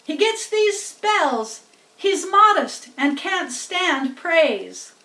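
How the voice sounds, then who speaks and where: A woman voicing an excitable, blustering male farmer in a storybook, hollering and exclaiming. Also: extremely high pitch, drawn-out words, roomy sound, distant mic, voice pushed up, full character voice, low-quality recording. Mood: defensive.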